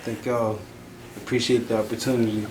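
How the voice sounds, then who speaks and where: Casting: laid-back man, lower voice, echoey room with faint hiss, interview guest. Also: level tone, a little fast. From South Carolina, US. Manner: laid-back, says "you feel me" a lot. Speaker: musician guest. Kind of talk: casual interview chat.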